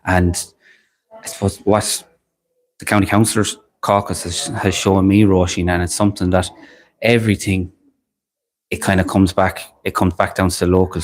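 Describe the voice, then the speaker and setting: Man, co-host, low voice, agreeable and casual. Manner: agreeable, brief affirmations, some stumbles. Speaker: political show co-host. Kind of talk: casual political chat.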